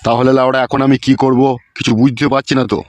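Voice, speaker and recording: A man's voice: medium pitch, flat delivery, fast, no echo, natural voice. A man voicing an aggrieved, boastful old village husband in a comic cartoon, cursing and grumbling in a rural West Bengal accent. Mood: bewildered.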